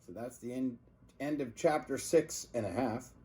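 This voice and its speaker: A man's voice: medium pitch, normal speed, darkish tone, husky. A man reading a children's book aloud as a teacher, expressively emphasizing words for young listeners.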